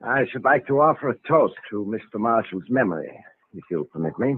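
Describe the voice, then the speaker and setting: An actor playing a solemn, suspicious estate lawyer with a formal, stiffly courteous manner in an old radio drama. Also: male voice, on the low side, lively intonation, brisk, a little hiss or hum, lightly acted. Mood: ceremonious. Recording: vintage radio recording, narrow phone-like band.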